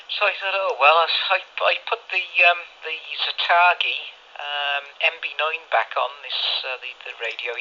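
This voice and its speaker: Man, very bright sharp voice, higher pitched, chummy rambling radio chat. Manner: rambling, chummy, mild swearing. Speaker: amateur CB radio operator. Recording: dull lo-fi radio audio, phone recording.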